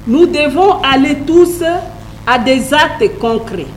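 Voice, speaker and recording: Brief: woman panelist, non-native French, slow and emphatic, fairly high voice. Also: lively intonation, a little hiss or hum. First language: a Congolese language. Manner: earnest, emphatic, with hesitant restarts. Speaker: panel speaker. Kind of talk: public debate remarks.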